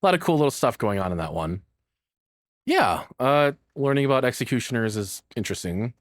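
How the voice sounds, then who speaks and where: A man's voice: mid-range voice, sing-song, brisk, no echo, studio quality. A man hosting a reaction video, giving off-the-cuff commentary with rambling, jokey, slangy asides.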